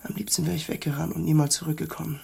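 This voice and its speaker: An actor playing a haunted, simple country man in a radio drama, halting and hushed, with a gravelly, husky voice. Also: on the high side, exaggerated voice. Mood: haunted, remorseful.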